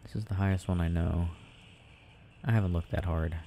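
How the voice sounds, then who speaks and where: A young man playing an affectionate, gently teasing boyfriend in an ASMR audio roleplay, murmuring and rambling as he games. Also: sits low, steady tone, fairly quick, vocal fry, a little hiss or hum. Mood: absorbed, rambling.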